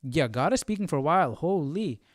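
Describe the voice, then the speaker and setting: A man talks casually as a livestream host, exclaiming and repeating phrases for emphasis. His voice is a little higher than average, and the recording is close and dry with no room echo. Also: lively intonation, a little fast. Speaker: Bible study livestream host. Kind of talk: casual chat commentary.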